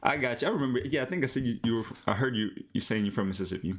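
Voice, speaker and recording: A man's voice: mid-range voice, very fast. A young man from Colombia chatting casually with viewers during a live coding stream, laid-back and slangy, restarting words and sometimes dropping into Spanish, speaking into a headset. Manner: relaxed, slangy, switches into Spanish, frequent restarts. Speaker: live-streaming app developer.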